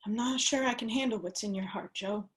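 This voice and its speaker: A woman's voice: on the high side, brisk, natural voice. An actress in a dramatic table reading, playing a wounded, wary woman with a blunt, sardonic way of rebuffing a suitor. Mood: guarded, skeptical.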